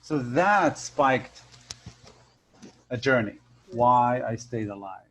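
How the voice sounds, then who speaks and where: A man with a loud voice telling a personal story in a casual interview, acting out the voices of the people in it. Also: medium pitch, takes it slowly. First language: Hebrew. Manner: animated storyteller, acts out voices. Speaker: hypnotherapist guest. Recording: dull lo-fi sound.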